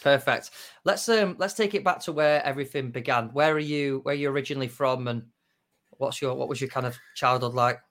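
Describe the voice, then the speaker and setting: A man's voice: mid-range voice, fairly quick, a bit bright. A man from Manchester hosting a podcast interview, asking friendly, easygoing questions with some fillers. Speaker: podcast host.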